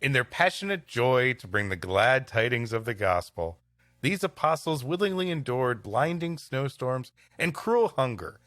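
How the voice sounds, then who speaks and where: A man's voice: medium pitch, sing-song, a little slow, a bit bright, dry recording, soft background music. A man co-hosting a comedy podcast, moving between reading an old-fashioned story aloud and light banter. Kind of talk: scripted story reading mixed with casual banter.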